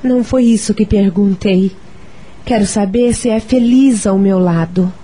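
A woman's voice: mid-range voice, a little slow, warm tone, natural voice. An actress playing a frank, wounded but caring wife and mother in a radio soap opera, with a Brazilian accent. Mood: hurt, insistent.

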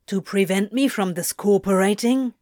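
A woman's voice: medium pitch, moderate pace, dry recording, lightly acted. A woman voicing a sardonic, protective male demon in an audiobook story, with a dry, grumbling delivery. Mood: dry, scoffing. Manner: dry, muttering, deadpan.

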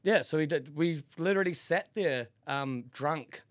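A man's voice: a little high, unhurried. A man from Auckland with a New Zealand accent, telling candid personal stories as a podcast guest in a giggly, rambling, slangy way. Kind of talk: casual, candid personal storytelling.

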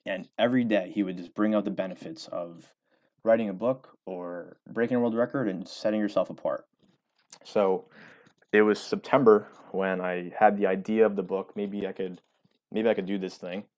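Young male author, very low voice, loose rambling delivery with lots of ums. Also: fairly even intonation, normal speed, darkish tone. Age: 20s. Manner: rambling, many fillers and restarts. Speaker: author and former college baseball player. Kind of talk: casual interview answers.

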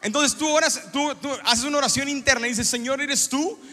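A middle-aged man preaching a sermon as a pastor, animated and joking, acting out little scenes as he talks. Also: extremely high pitch, a little fast. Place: church sanctuary. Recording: long hall reverb in a church.

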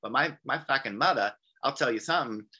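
A man's voice: mid-range voice, quick pace, sharp tone, slightly rough. A man talking as an interview guest in loose casual conversation, rambling and often repeating "you know what I mean.". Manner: quotes people in acted voices.

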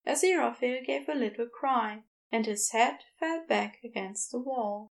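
Female fiction narrator, high-pitched voice, vocal fry, scripted storytelling. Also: moderate pace. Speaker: podfic narrator.

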